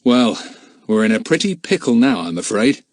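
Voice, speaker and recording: A level-headed grown man in a children's adventure story, voiced by a male reader. He is rueful and plain-spoken, in a lowish, measured voice. The sound is dry. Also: sing-song, a little mellow, dry recording.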